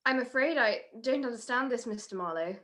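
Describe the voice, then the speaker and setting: An actress playing a cornered, defiant society dame and scheming crook in an old-time radio detective drama, with indignant, clipped retorts. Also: female voice, fairly high pitch, animated, brisk, heavy background noise, natural voice.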